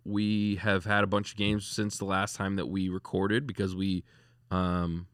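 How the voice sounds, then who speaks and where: Male podcast host, low voice, dry close sound, rambling casual sports talk. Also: flat delivery, measured pace, a bit bright, dry recording. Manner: rambling, lots of restarts and fillers, laughs easily.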